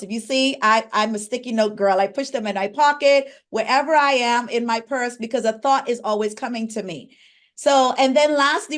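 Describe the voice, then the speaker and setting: A woman from the Bahamas, a pharmacist and coach, leading an interactive online workshop. She asks the audience questions and reads out names from the chat. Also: fairly high pitch.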